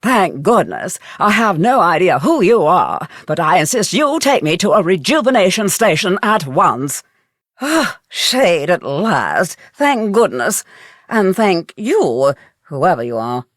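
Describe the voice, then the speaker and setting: An actress playing a pampered, demanding and dramatic spa guest in a game. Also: female voice, fairly low pitch, sing-song, slow, no echo, clean studio sound, heavily acted. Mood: imperious, relieved. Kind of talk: game voice acting.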